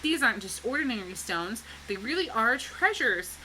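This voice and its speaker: A woman's voice: fairly high pitch, very animated, normal speed, bright, forceful tone, a little hiss or hum, poor audio. A woman voicing a hopeful, determined young girl in a storybook, earnest and full of wonder. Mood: pleased, hopeful.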